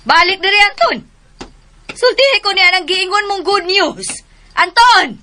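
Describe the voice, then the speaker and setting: A woman's voice: very high voice, expressive, unhurried, bright, forceful tone, slight background noise, heavily acted. A woman with a southern Philippine accent playing a stern, exasperated mother, reproachful and commanding, in a radio drama. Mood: angry. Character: stern, exasperated widowed mother and household mistress.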